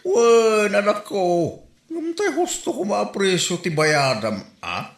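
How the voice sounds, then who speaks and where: A man's voice: high-pitched, sing-song, slow pace, gravelly, full character voice. A man with a Filipino accent playing an older village father who admonishes his daughter in an audio drama. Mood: admonishing. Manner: admonishing, paternal. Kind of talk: amateur audio drama dialogue. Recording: roomy echo.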